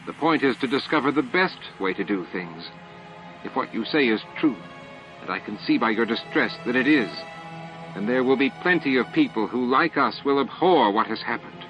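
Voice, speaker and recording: A man's voice: medium pitch, animated, normal speed, lightly acted. A man voicing a fierce, snappish elderly female alien scientist in an audiobook drama. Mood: brisk, urging. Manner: snappish, forceful, righteous. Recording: dull lo-fi audio with music.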